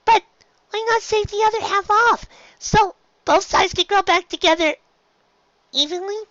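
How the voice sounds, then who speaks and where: A woman voicing a young pony filly, an innocently blunt little sister who asks puzzled questions in a squeaky voice. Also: a little slow, fairly bright tone, dry recording. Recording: slightly dull, lo-fi recording.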